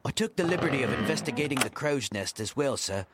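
A man's voice: mid-range voice, animated, heavy background noise, lightly acted. A man as a formal, dutiful first mate. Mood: respectful, concerned. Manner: formal, measured, respectful. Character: dutiful, cautious first mate, deferential to captain. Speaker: actor in audio drama. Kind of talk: nautical audio drama acting.

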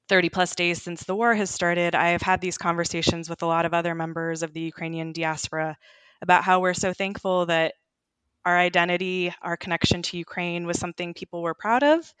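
A woman's voice: on the low side, a bit bright, clear, resonant tone, no room sound. A woman, a journalist guest talking earnestly and reflectively in a podcast conversation. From United States.

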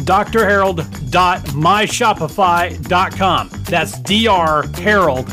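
High-pitched male ad announcer over music. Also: slow pace. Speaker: advertisement announcer. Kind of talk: scripted jokey promotional read.

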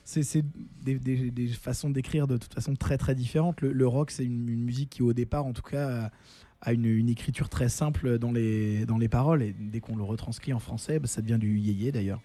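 A French man, a musician guest on a radio show, speaking thoughtfully with many pauses and restarts. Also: medium pitch, steady tone, warm tone. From France. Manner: thoughtful, hesitant, halting. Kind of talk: casual interview. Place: radio studio.

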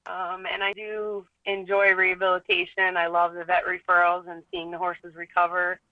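A woman hoof trimmer talking about her work in an even, measured way, over the phone. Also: lowish voice, no echo. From New York State. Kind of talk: phone interview. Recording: tinny phone line.

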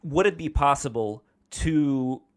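A man moderating a discussion, posing long, measured questions to the panel. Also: on the high side. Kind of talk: casual discussion.

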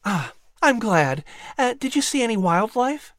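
Woman playing a lively, firm colonial mother. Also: fairly high pitch, measured pace, no room sound, clean studio sound, pitched above the performer's own voice. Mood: tender, concerned. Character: busy colonial mother, firm but gentle, cheery. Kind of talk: audiobook character voice.

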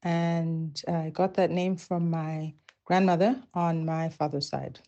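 Slow, mellow-voiced woman. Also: sits low, steady tone, lo-fi sound. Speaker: guest. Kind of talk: short interview answer.

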